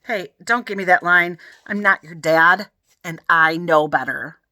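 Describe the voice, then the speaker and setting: A woman's voice: fairly low pitch, unhurried, sharp tone, dry recording, studio quality. A woman voicing a cool, slangy schoolboy friend in a children's story, giving emphatic, no-nonsense warnings. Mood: skeptical.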